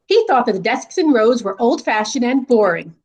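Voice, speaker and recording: A woman's voice: on the high side, very animated, moderate pace, a little mellow. A woman reading a children's storybook aloud as a school staff reader.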